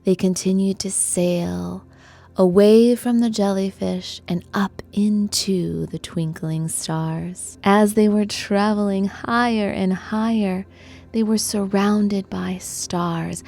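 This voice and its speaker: A woman narrating a children's bedtime story as a guided meditation, in a gentle, soothing, hushed manner. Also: medium pitch, fairly even intonation, slow pace, gravelly, soft background music.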